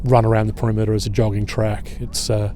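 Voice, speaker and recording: A middle-aged man leading an outdoor history tour at a waterfront fort. He talks in a relaxed, halting way with many filler pauses. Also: fairly low pitch, slight background noise. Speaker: historian and battlefield guide.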